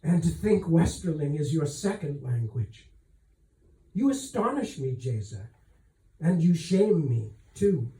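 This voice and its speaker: A man voicing a gracious, frank and self-doubting queen in a novel reading, measured and reflective. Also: a little high, sing-song, warm tone. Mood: humble, admiring. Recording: roomy echo, distant microphone.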